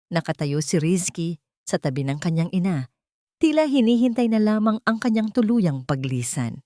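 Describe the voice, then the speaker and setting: A woman narrating a scripted romantic drama in a solemn, emotive radio-drama style with deliberate phrasing. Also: low voice, a little mellow, dry recording, clean studio sound. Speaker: story narrator.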